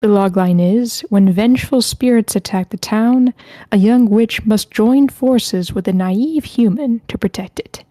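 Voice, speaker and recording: Woman, casual online table-read host, mellow tone, very dry close recording. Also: a little high, a little slow, dry recording. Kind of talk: casual livestream hosting. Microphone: close mic with a sock over it, online voice call.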